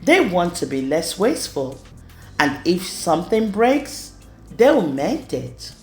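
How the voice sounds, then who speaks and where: Very slow, lively woman reading a children's story. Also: fairly low pitch. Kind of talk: expressive rhyming read-aloud for children. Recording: roomy echo with music underneath. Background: music.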